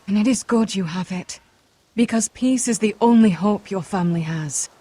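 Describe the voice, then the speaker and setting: A woman voice acting a guarded, earnest young noblewoman in a fantasy game drama, speaking in grave, measured, formal phrases. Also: mid-range voice, mellow, husky, natural voice. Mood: grave, warning.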